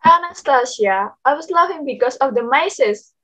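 A woman's voice: high voice, animated, moderate pace, a bit bright. A woman playing a meek, kind but steadfast young girl in a readers theater. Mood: apologetic, reassuring. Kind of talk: readers theater acting on video call.

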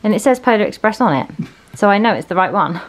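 A woman's voice: mid-range voice, animated, fast talker. A young British woman chatting casually and informally to a handheld camera as she vlogs. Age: young adult. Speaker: vlogger. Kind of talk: casual vlog chat. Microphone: handheld camera at arm's length. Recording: noisy handheld vlog, room and car sounds.

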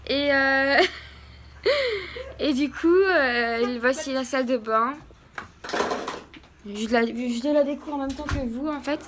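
Jeune femme vloggeuse, voix claire et aiguë. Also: female voice, high-pitched, expressive, slow, long pauses, a bit bright, a little hiss or hum. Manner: chatty, breathless after climbing. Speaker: travel vlogger. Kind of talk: casual travel vlog. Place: outdoors on mountain trails and in a motel room. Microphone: phone held at arm's length.

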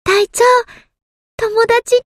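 A woman's voice: extremely high pitch, level tone, takes it slowly, no echo. A woman voicing a small, timid, earnest anime game submarine girl, with a thin childlike voice and a hesitant, polite manner. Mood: content, gentle. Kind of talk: anime game character voice lines.

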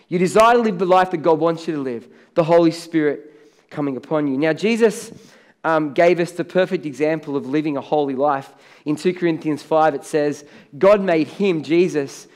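A middle-aged Australian man preaches from a large church stage, his voice sitting a little high for a man. He talks in a conversational way, then presses up hard on the words he wants to land. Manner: conversational preaching, rising to emphatic on key words. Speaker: pastor. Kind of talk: sermon.

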